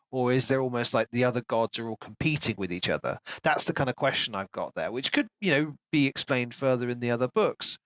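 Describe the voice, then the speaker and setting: A man's voice: mid-range voice, fairly bright tone, dry recording, low-quality recording. A man co-hosting a podcast, talking casually and analytically about a book as he thinks aloud. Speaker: podcast co-host.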